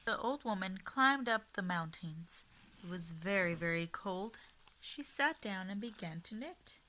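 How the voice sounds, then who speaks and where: Bright, unhurried woman reading to children. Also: lowish voice. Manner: expressive, child-directed, with pauses and questions to listeners. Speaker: storyteller for young children at a school. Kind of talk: children's storybook read-aloud.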